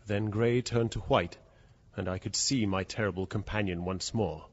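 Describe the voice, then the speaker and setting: A man's voice: on the low side, normal speed, close to an everyday voice. Actor playing a frightened man telling his ordeal, muffled recording. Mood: hushed dread. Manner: suspenseful, theatrical, swinging from hushed to frantic. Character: terrified, trapped man recounting his ordeal with a beast. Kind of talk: dramatic first-person audio drama narration. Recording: dull, lo-fi audio with loud background noise.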